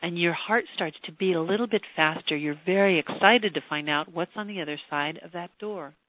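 An older teleseminar host and voice coach who chats warmly with listeners, then leads a slow, soothing guided relaxation. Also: medium pitch, no echo. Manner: effusive and chatty, turning slow and soothing for a trance induction. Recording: tinny phone line teleseminar, dry.

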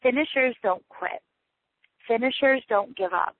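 A woman's voice: a little high, measured pace, no room sound. Chatty woman coaching over a tinny phone line. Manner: emphatic, repetitive, chatty. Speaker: direct-sales team leader. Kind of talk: motivational sales training talk. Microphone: phone call.

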